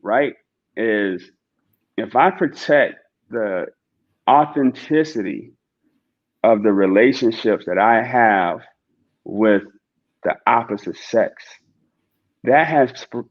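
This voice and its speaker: Man, low voice, earnest preacher-like podcast guest, dull lo-fi sound. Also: lively intonation, slow pace, long pauses. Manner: earnest, preacher-like, frequent "right?" tag questions. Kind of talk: reflective podcast conversation.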